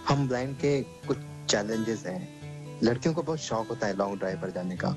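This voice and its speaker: A man's voice: mid-range voice, close to an everyday voice, poor audio. A man playing a teasing young blind lover. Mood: earnest. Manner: joking banter, turning earnest. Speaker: lead actor in a radio play. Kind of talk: amateur radio play acting. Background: guitar music.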